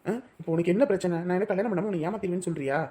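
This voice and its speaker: A young actor playing a brash, protective lover who scolds and reassures forcefully. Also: male voice, high-pitched, rapid-fire, close to an everyday voice. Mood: reassuring. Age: young adult.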